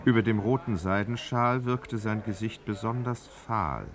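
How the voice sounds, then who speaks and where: Low-pitched man narrating a radio drama. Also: unhurried.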